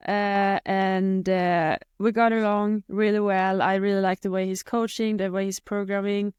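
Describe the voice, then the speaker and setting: Young Swedish woman, guest in a casual chat, smooth and clear tone, dry close sound, halting with lots of "uh". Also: medium pitch, flat delivery, darkish tone, dry recording, highs cut off. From Sweden. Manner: hesitant, many fillers and restarts. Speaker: guest athlete.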